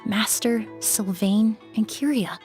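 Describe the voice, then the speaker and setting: An actress playing a composed, kindly crime-scene cleaner in a video game, speaking in a gentle, measured and reflective way. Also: female voice, medium pitch, faint music behind, natural voice. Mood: thoughtful resolve. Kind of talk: scripted video game dialogue.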